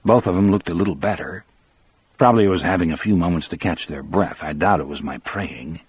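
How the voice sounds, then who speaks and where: A man reads a first-person story in a low, husky voice. The recording is very dry and close, with a scripted audiobook delivery. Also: brisk, no echo. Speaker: audiobook narrator.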